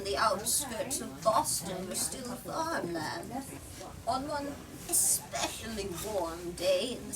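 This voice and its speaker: A woman storyteller reading a novel aloud to listeners with dramatic, deliberate phrasing. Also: on the high side. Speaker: storyteller, book talk leader. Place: bookstore. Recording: distant mic, clear background noise.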